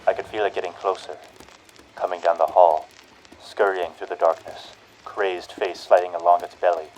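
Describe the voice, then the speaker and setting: Brief: male actor as a cruel radio-play narrator; low voice, slow, menacing delivery. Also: steady tone, darkish tone, slight background noise, natural voice, poor audio. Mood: dread. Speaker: horror radio show narrator. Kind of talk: 1940s radio horror narration.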